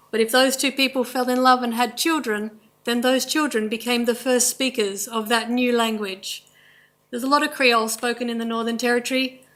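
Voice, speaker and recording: A woman with an Australian accent giving a formal, measured presentation in a courtroom, speaking in short listed phrases. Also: fairly high pitch, fairly bright tone. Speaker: language presenter at a court inquest.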